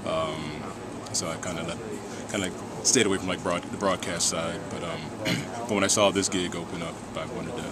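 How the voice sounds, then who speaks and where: Low-voiced American man over loud crowd noise. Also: steady tone, normal speed, few pauses. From Washington DC area, United States. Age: late 30s. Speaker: announcer tryout contestant being interviewed. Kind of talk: casual interview answers.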